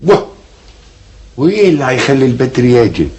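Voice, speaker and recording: An actor playing a stubborn, defiant landowner who speaks in a curt, scornful way. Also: male voice, mid-range voice, big pitch swings, brisk, darkish tone. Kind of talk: TV drama acting.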